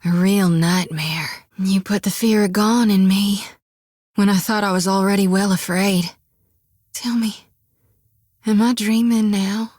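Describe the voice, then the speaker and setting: An actress voicing a devout young female reaper priestess haunted by visions, with a drawl, often hushed and whispery, recorded dry in a studio. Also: medium pitch, slightly rough, no room sound. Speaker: game character. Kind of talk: game voice acting.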